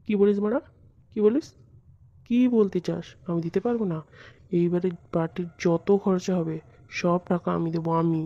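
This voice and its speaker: A man's voice: sits high, fairly even intonation, moderate pace, pauses often, lo-fi sound, slight background noise. A man voicing a cocky, broke young slacker in a comic cartoon, brash and foul-mouthed. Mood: boastful, indignant. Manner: brash, swearing, boastful. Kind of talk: comic Bengali cartoon acting.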